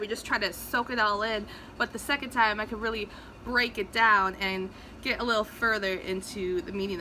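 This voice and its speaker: A woman with a very bright, forward voice gives a casual book recap. She sits a little high in pitch and talks conversationally, stressing key phrases as she goes. Some background noise sits under her voice. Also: low-quality recording. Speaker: book reviewer hosting a read-along recap.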